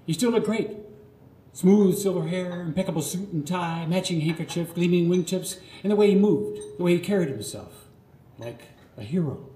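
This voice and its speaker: A man's voice: high voice, animated, normal speed, dark tone. A middle-aged man performing a scripted autobiographical monologue live, theatrical and earnest, delivered phrase by phrase. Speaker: storyteller. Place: live radio theatre stage. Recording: roomy live theatre, clear background noise.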